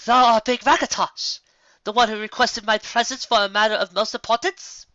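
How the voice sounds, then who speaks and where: A man's voice: high-pitched, fairly even intonation, normal speed, sharp tone, no room sound, highs cut off, pitched above the performer's own voice. A man voicing a grand princess of the night with stately, archaic speech in a story reading. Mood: imperious.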